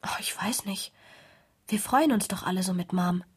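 An actress playing an earnest, dutiful sixteen-year-old girl in a radio drama, breathy and confiding. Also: medium pitch, moderate pace. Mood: worried, confiding. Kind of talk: radio drama dialogue, phone chat.

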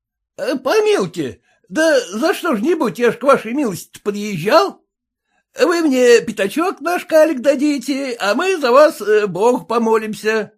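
A man voicing a loud, abusive, drunken peasant cart driver in a storybook, with scornful, rustic speech and drawn-out shouts. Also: extremely high pitch, animated, pitched above the performer's own voice, exaggerated voice. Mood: wheedling.

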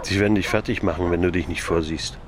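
A man's voice: sits low, fairly even intonation, quick pace, background noise, natural voice. A man acting as a disgraced, resigned policeman in a German radio drama, speaking earnestly. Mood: resigned, urgent. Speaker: actor.

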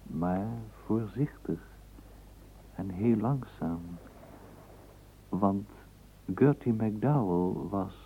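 A man narrating a literary radio play in a measured, dramatic storytelling manner. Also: on the low side. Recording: tinny, narrowband old radio tape, faint hiss.

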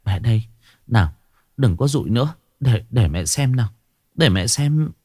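A man's voice: mid-range voice, animated, moderate pace, warm tone, slightly rough. A man voicing an anxious young mother who fusses over her hurt child in a raised voice. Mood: soothing. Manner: anxious, soothing. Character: worried, fussing young mother.

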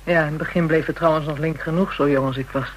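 A woman's voice: low voice, steady tone, fast talker, close to an everyday voice, low-quality recording. Woman acting a bitter young call girl, slangy, coarse, defiant, radio drama, slight background noise.

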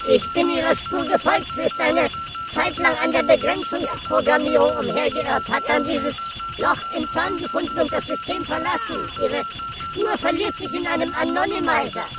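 A woman voicing a formal computer tracking program in a radio drama, reporting in a measured way. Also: very high voice, animated, over loud music. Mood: formal, factual. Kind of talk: scripted radio drama acting.

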